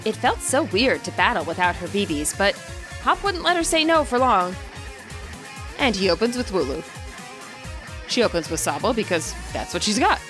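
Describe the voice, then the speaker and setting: A woman narrating a game playthrough as a story, expressive and informal. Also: medium pitch, normal speed, pauses often, music in the background. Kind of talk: storytelling narration with character voices.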